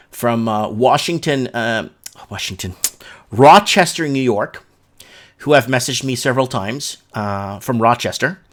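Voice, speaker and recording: A man hosting a casual livestream, talking in an informal, slangy, rambling way as he chats and reads comments and news aloud. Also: medium pitch. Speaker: live show host.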